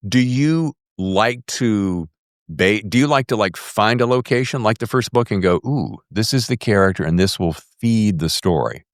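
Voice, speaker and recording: Very deep-voiced man hosting a chat. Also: lively intonation, moderate pace, no echo, studio quality. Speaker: podcast host interviewer. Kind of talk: casual book interview chat.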